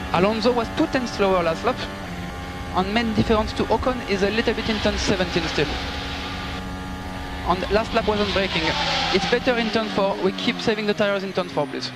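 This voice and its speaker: A man's voice: high voice, moderate pace, over loud music. A man working as a race engineer, giving polite, clipped technical instructions and gap updates over team radio. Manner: polite, instructive, reporting numbers and gaps. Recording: noisy team radio with engine and vehicle noise. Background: loud car and engine noise.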